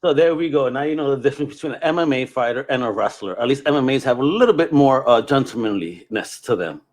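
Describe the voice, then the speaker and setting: A man's voice: mid-range voice, animated, quick pace. A man hosting a podcast in a casual, slangy chat style full of informal banter. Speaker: podcast host.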